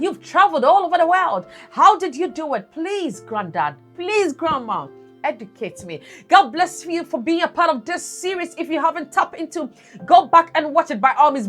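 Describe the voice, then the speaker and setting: A woman's voice: high voice, a bit bright. A woman hosting a personal finance channel, talking earnestly to her viewers and asking them what they would do. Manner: earnest, preachy, asks the viewer questions. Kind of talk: solo talk to camera.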